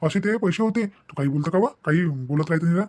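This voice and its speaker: A man's voice: fairly high pitch, fast talker, darkish tone, natural voice. A man with a Vidarbha Marathi accent playing a muddled, easily swayed young husband in a cartoon family drama, speaking hesitantly. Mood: doubtful.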